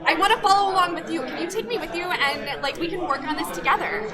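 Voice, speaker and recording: Very high-voiced man in a noisy hall. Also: quick pace. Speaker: player in a tabletop game. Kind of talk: playful live game chat. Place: large indoor convention room. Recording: loud crowd in a large room.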